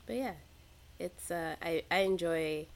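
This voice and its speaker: A woman's voice: fairly low pitch, measured pace, gravelly. A woman in casual conversation who talks chattily, laughs often and acts out her own reactions. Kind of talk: casual chat.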